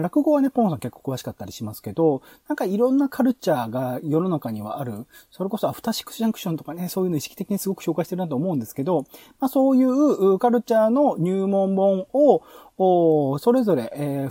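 A man hosting a podcast, casually explaining proposals in a halting way, stretching filler vowels and correcting himself as he goes. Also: fairly high pitch, dark tone, husky, no echo. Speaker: podcast host.

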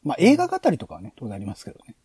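A man's voice: medium pitch, huge pitch swings, very fast, warm tone, breathy edge, no room sound, studio quality. A man hosting a podcast, explaining things politely in a casual planning discussion. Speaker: podcast host.

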